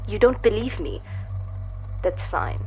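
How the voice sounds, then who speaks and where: A woman playing a cryptic, self-assured visitor in an audio drama, speaking in a measured, deliberate, portentous way. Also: mid-range voice, sing-song, dull audio, slight background noise. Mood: grave, certain.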